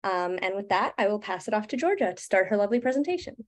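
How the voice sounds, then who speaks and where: A woman's voice: medium pitch, expressive, fast talker. Young woman hosting a webinar. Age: 20s. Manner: upbeat, reading from notes with "um" openers. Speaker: webinar host. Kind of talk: scripted introduction. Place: home room. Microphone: video call.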